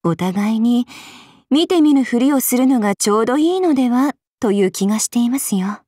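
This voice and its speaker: A woman's voice: a little high, animated, brisk, mellow, no room sound, clean studio sound. A woman voicing an aloof, languid adult demon goddess in an anime game, composed, polite and faintly sardonic. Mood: cool, musing. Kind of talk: Japanese anime game character lines.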